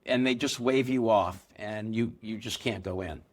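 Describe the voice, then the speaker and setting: A middle-aged man, a business co-founder, explaining his trade in a relaxed interview, telling firsthand stories. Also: lowish voice, moderate pace. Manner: explanatory, anecdotal, with occasional laughs. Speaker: gold business co-founder, guest. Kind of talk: conversational interview answers.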